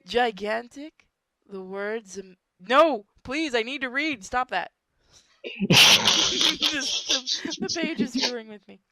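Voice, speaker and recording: Slow-paced woman reading a horror story. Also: fairly high pitch, lively intonation, sharp tone, no echo, rough recording. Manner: informal, stumbles over words and swears in asides. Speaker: story reader. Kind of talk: amateur dramatic reading of a horror story with joking asides.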